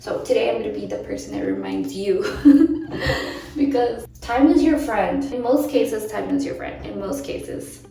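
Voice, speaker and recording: A young woman vlogger talking earnestly to camera, her voice croaky from a late night. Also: a little high, lively intonation, unhurried, far from the mic, slight background noise. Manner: earnest, encouraging, musing. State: croaky from little sleep. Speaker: lifestyle vlogger. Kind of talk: reflective talk to camera. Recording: echoey, from off the mic, soft music.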